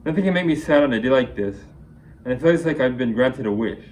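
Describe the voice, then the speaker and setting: A man's voice: medium pitch, lively intonation, a little fast, darkish tone. Man reciting rhyming poetry, faint background noise. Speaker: amateur poet. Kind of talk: reciting rhyming spoken-word poetry at a reading.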